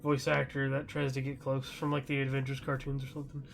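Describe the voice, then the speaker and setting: A man's voice: mid-range voice, monotone, fast, gravelly. A man hosting a podcast, chatting casually about gaming news in a rambling, loosely conversational way. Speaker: podcast host.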